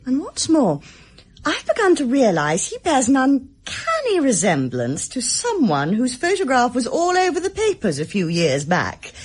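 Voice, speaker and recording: A shrewd English office worker in a radio drama. She is dryly sardonic, with very varied intonation and a faintly husky tone to her fairly high voice. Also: wildly swooping pitch, measured pace, heavily acted. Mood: conspiratorial. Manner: dry, sardonic. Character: shrewd, cynical, witty female office worker.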